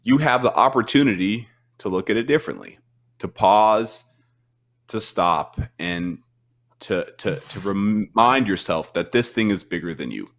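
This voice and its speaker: A man's voice: on the low side, expressive, a little slow, a bit bright. A man talking in an earnest, motivational way, often restarting his words. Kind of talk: casual chat.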